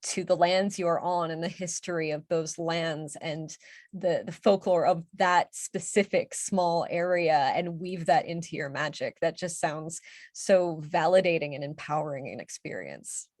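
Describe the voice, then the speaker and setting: A woman hosts an interview in an enthusiastic, affirming way. Her voice sits fairly low, and the recording is close and dry with no room sound. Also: unhurried, a little mellow, no room sound. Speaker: podcast host. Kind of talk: casual interview chat.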